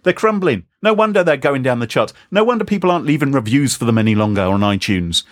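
A man hosts a casual podcast chat with jokes and tangents. His pitch swings widely and often climbs high. The recording is dry and close, with no room sound. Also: lively intonation, fast talker, dry recording. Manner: jokey, rambling, self-mocking. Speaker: podcast host.

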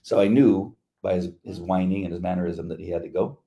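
A man's voice: sits low, steady tone, brisk, mellow. A man co-hosting a faith talk show, rambling through stories and laughing easily. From United States. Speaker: podcast co-host. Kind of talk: casual chat.

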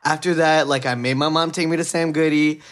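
A hoarse man in his thirties chatting casually, rambling and reminiscing with lots of fillers. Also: a little high, fairly even intonation, fairly quick, fairly bright tone. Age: 30s. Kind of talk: casual chat.

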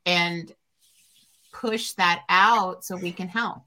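Woman host, bright edgy tone, lowish pitch, earnest and reflective, halting with fillers. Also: steady tone, poor audio. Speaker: podcast host. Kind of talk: casual podcast interview.

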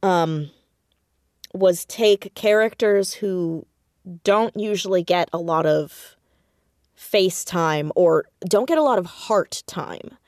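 A woman talking casually as an interview guest about her writing, with animated, self-deprecating storytelling and emphatic stresses on words. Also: on the low side, takes it slowly, no echo, studio quality. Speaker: podcast guest, audio drama writer and creator.

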